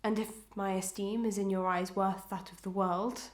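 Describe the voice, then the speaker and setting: A woman playing an anguished, proud and dutiful wife in a Victorian melodrama, with formal archaic diction and fervent, deliberate delivery. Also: mid-range voice, little pitch movement, echoey room, lightly acted. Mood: formal, strained. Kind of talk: old-fashioned melodramatic stage acting.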